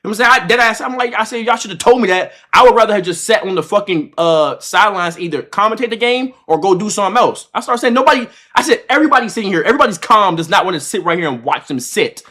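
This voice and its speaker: High-voiced, fast-talking young male streamer. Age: 20s. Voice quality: slangy, swearing speech. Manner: animated, repetitive retelling with acted-out quotes. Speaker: livestreamer. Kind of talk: casual reaction-stream storytelling to chat.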